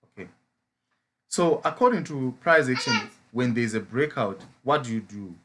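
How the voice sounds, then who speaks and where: A man's voice: mid-range voice, lively intonation, moderate pace, noisy background. A man from Zimbabwe with a Zimbabwean English accent, a trading mentor who explains chart patterns in a live lesson and keeps asking rhetorical "as a what?" questions.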